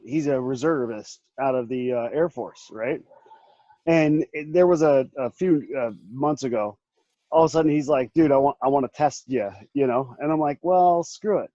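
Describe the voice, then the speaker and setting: A man's voice: mid-range voice, a little slow, warm tone, dry recording, dull audio. A man in his late thirties, hosting a casual group chat, rambling with many restarts and laughing often. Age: 30s. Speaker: podcast host.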